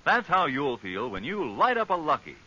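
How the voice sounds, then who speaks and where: A man's voice: a little high, wildly swooping pitch, moderate pace, sharp tone, husky. An American man delivering an emphatic, scripted 1940s radio advertisement. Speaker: commercial announcer. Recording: dull old radio recording.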